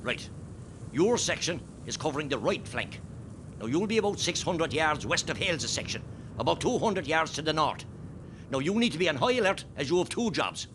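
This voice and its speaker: A man with an Irish accent playing a steady, rousing rebel column commander in a radio play. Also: moderate pace. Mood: rallying. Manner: commanding, swinging from quiet briefing to rallying. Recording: faint rumbling background noise.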